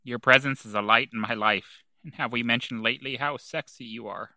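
A man's voice: mid-range voice, fairly even intonation, a little fast, bright, forceful tone, no room sound. A man performing and narrating a scripted comedic audio drama in a theatrical way.

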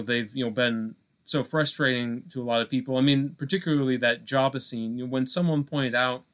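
A man co-hosting a podcast in a casual film discussion, talking haltingly with repeated words and lots of filler phrases. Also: medium pitch, a bit bright. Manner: halting, repetitive, peppered with "like" and "you know". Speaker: podcast co-host.

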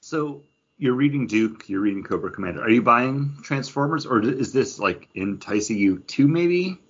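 A man with a husky voice and animated, swinging intonation hosts a casual podcast chat. He leads the discussion conversationally, on audio that sounds a little dull and lo-fi. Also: medium pitch, moderate pace. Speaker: podcast host steering the discussion.

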